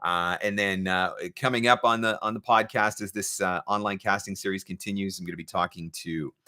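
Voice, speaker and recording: A man's voice: deep voice, fairly even intonation, fairly quick, bright, forceful tone. A man hosting a casual, off-the-cuff livestream as a coach, chatting informally with a playful, teasing streak. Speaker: livestream host and voiceover marketing coach. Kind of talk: casual live chat, off the cuff.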